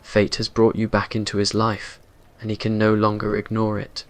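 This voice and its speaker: A man's voice: fairly low pitch, monotone, moderate pace. A man reading scripted romantic roleplay narration in an ASMR story style.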